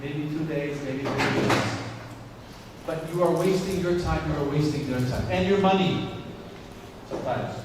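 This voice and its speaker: A man's voice: fairly high pitch, measured pace, pauses often. A man giving a guest lecture to students, speaking English as a second language, who keeps checking in with "okay?" and repeats his points. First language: not English. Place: large lecture hall. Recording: echoey hall recorded from far off with noise.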